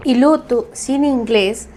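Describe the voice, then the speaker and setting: A woman hosting a podcast, asking quick follow-up questions in a casual chat. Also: on the high side, dark tone. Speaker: podcast host.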